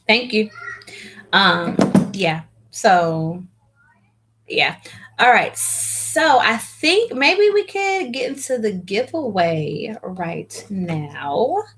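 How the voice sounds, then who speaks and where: A woman's voice: medium pitch, huge pitch swings, drawn-out words, fairly bright tone, a little hiss or hum. A young woman chatting casually to viewers on a live stream, rambling with lots of fillers and restarts. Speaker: hair vlogger hosting a live Q&A.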